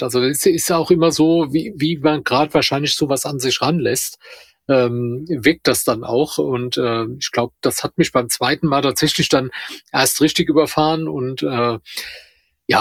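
A man's voice: mid-range voice, moderate pace, a bit bright, breathy edge, no room sound. A man in a casual, reflective discussion as a podcast co-host, speaking hesitantly and searching for words.

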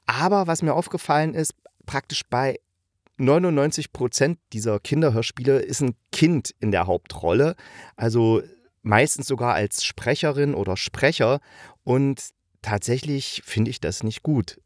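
A man's voice: mid-range voice, animated, measured pace, no room sound, studio quality. A man hosting a podcast solo, talking casually in a playful, self-ironic, rambling way as he reviews. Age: adult. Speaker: podcast host reviewing audio dramas.

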